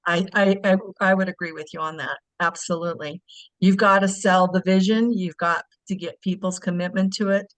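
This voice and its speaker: A woman's voice: on the low side, fairly even intonation, moderate pace, no room sound, low-quality recording. A middle-aged woman facilitating a breakout discussion on a video call, asking encouraging, probing questions. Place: home living room.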